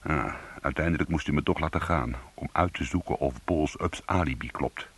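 An actor playing a world-weary, streetwise crook in a radio crime drama, with a husky, gravelly voice and slangy, hard-boiled delivery. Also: male voice, very deep voice, normal speed, a bit bright, exaggerated voice. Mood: wry, bitter. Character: world-weary, streetwise male crook telling his own story. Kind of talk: radio crime drama, first-person narration and dialogue.

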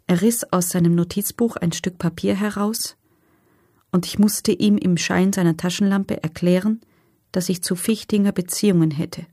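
An actress playing a former female prisoner who gives sober, measured, matter-of-fact testimony in a documentary radio drama. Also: on the low side, dark tone, no room sound, studio quality.

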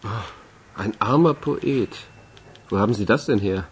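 A man's voice: fairly low pitch, unhurried, a little hiss or hum, lightly acted. A man playing a wry, sceptical policeman in a radio crime drama, with a dry, mocking manner. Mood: wry, probing. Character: wry, sceptical male police colleague asking questions. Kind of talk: German radio play acting.

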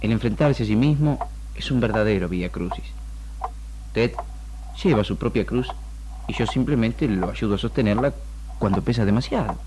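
A man with a Rioplatense accent playing a calm, firm doctor in a 1960s radio drama, speaking in a solemn, measured, counselling way. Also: on the low side, background noise, close to an everyday voice. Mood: firm, grave. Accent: Rioplatense Argentine. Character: calm, firm male doctor guiding a troubled patient. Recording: old radio archive tape with music.

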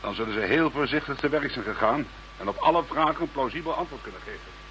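A man's voice: mid-range voice, lively intonation, fast talker, a bit bright, close to an everyday voice, poor audio. A male actor as a Dutch investigator in a radio play. He is informal and easygoing yet businesslike, with a mid-range voice and faint hum on the recording.

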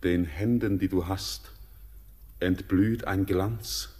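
Slow, anguished young sailor. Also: male voice, sits low, steady tone, darkish tone, husky, full character voice. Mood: awed dread. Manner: solemn, theatrical, impassioned declamation. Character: anguished young orphaned sailor, awed and fearful. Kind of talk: classic German radio verse drama, declaimed.

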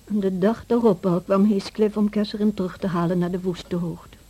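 Soft, husky housekeeper played by a woman. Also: mid-range voice, fairly even intonation, moderate pace, lightly acted. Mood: grave recounting. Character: loyal, caring housekeeper recounting a family's story. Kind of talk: radio play storytelling.

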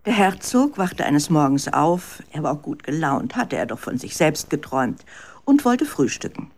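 Low-voiced woman narrating a children's story. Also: sing-song, normal speed. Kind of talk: scripted storytelling for a children's radio drama.

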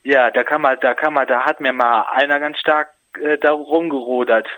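Hesitant man with a bright, sharp voice. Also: mid-range voice, fairly even intonation, no echo, poor audio. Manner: hesitant, halting, repeating words. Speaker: person receiving a phone call. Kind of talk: casual phone conversation.

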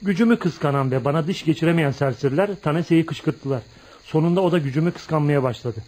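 An actor in a radio play voicing an embittered, proud young outcast who confides in a dramatic, emphatic storytelling manner. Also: male voice, a little high, brisk, a little mellow, natural voice. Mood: wistful, wounded. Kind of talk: radio theatre acting.

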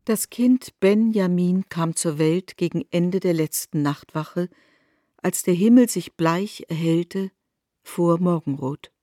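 A woman narrating a literary radio drama in a measured, restrained manner. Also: fairly low pitch, mellow, no echo, clean studio sound. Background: faint instrumental music.

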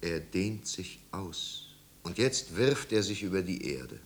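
A man narrating a 1950s German radio drama as a solemn storyteller, with grave, measured and deliberate phrasing. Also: low voice, lively intonation. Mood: hushed, solemn.

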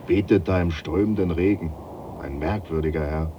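Actor as a deep-voiced, plain-spoken ship's servant. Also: male voice, normal speed, lightly acted. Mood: wry. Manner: respectful, wry, plain-spoken. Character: deferential, plain-spoken, reassuring shipboard manservant. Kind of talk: radio drama acting. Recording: muffled, clearly noisy.

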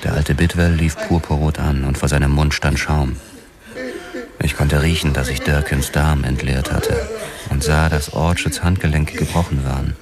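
An actor playing a haunted, hard-boiled 1940s police officer who narrates a crime radio drama. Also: male voice, extremely low pitch, flat delivery, moderate pace, a crowd in the background. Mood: haunted, grim. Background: prominent music.

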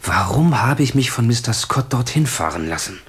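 An actor playing a wry, courteous gentleman who has lost his memory, in a German radio crime drama. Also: medium pitch, sing-song, normal speed, slightly rough, heavily acted. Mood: wistful, troubled. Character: flirtatious, wistful gentleman patient with lost memory.

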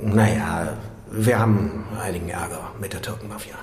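Radio play: male actor as jaded detective inspector, lowish voice, dry and sardonic, in a reverberant room. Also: moderate pace, darkish tone, lightly acted. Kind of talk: German radio crime drama acting. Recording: echoey room with faint noise.

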